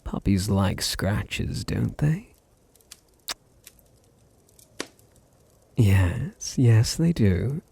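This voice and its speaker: A British man playing a possessive, teasing vampire master in an intimate ASMR roleplay, with a deep, husky, gravelly voice and a condescending purr. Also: expressive, slight background noise, exaggerated voice. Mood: teasing, tender. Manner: slow, purring, condescending, softly teasing.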